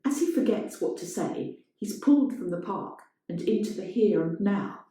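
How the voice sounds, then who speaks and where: A woman's voice: medium pitch, lively intonation, moderate pace, dark tone. A woman reading a scripted story as dramatic narration. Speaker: reader of a play-like story. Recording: very echoey, reverberant room.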